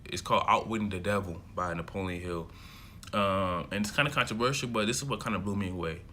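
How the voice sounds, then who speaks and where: A man's voice: sits low, quick pace, pushed and bright, a little hiss or hum. A young man from America in his early twenties, talking to camera in a loose, chatty monologue full of fillers and restarts. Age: young adult. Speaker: self-development vlogger. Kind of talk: casual rambling monologue to camera.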